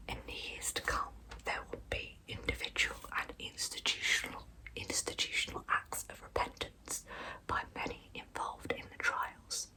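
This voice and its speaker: A woman whispering a true crime story as an ASMR host, hushed throughout. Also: moderate pace, rough recording.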